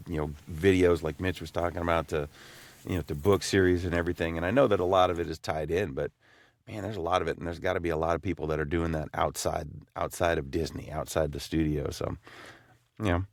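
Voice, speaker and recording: A man's voice: low voice, fairly even intonation, a little fast, vocal fry, no room sound. A man hosting a podcast in a casual, conversational chat. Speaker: podcast host.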